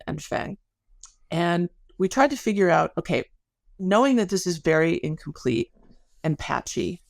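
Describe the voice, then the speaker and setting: A woman's voice: sits low, creaky, dry recording, studio quality. A middle-aged woman speaking as an academic interview guest, explaining things in a thoughtful, careful way and often correcting herself. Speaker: podcast guest, academic researcher.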